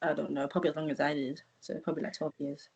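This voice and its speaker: Soft-spoken woman, hesitant guest. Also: low voice, level tone, racing, vocal fry, breathy edge, highs cut off. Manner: hesitant, trails off, often drops to a quiet voice. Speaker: podcast guest. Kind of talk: casual conversational interview.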